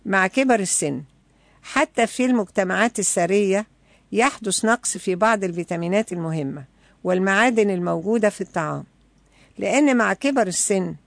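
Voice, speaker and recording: Egyptian woman lecturer with a creaky voice. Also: medium pitch, no room sound. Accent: Egyptian Arabic. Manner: didactic, switches between formal reading and colloquial explanation. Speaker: health lecturer. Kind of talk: educational health lecture, partly read from notes.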